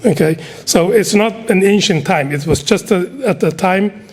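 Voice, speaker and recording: A man's voice: high voice, normal speed, husky. A middle-aged man from Somalia with a Somali accent, giving an earnest speech to an audience. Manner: earnest and exhorting, with repetitions. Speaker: community speaker.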